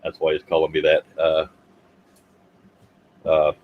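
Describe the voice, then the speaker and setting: Man, 50s, low-pitched, self-deprecating livestream host; faint background hum. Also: monotone, measured pace, narrow, tinny audio. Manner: joking, self-deprecating, rambling. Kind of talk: casual chat.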